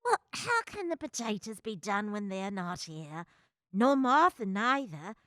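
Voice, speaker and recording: A woman's voice: medium pitch, sing-song, measured pace, bright, forceful tone, no echo. A woman playing a fretting, affectionate Victorian working-class mother in a radio drama. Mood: fretful. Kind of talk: community radio drama acting.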